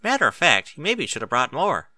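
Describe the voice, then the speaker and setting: A man voicing an eager, cheeky young female country pony in an excitable, folksy way for a story. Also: fairly high pitch, fairly quick, no echo, voice pushed up, exaggerated voice.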